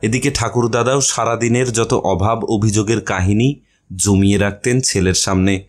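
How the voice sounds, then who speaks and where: A man's voice: on the low side, steady tone, moderate pace. A man narrating a short story as a scripted audio reading.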